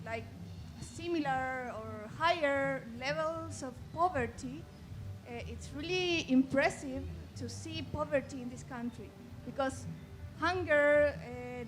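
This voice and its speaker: A woman from Chile with a Chilean Spanish accent, Spanish being her first language, speaking earnestly from the audience at a public discussion, apologetic and searching for words. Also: sits high. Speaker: audience member asking a question. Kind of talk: public Q&A remark.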